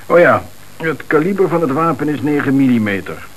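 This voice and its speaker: A man's voice: a little high, expressive, a little fast, poor audio. A man acting a matter-of-fact, wry police investigator, in a radio play. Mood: dry, factual. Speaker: actor. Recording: hissy old radio recording.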